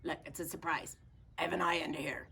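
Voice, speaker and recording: Female children's storyteller, folksy and playful, bright forceful tone with some fry, informal asides. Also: sits low, fairly even intonation, fast, slight background noise. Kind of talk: playful read-aloud storytelling with chatty asides.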